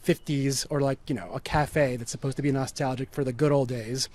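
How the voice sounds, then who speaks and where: A man who grew up in the United States, a writer being interviewed, speaking in a measured way with dry, wry asides. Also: medium pitch, fairly even intonation, a little mellow. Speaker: guest, writer and performer. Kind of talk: casual interview chat.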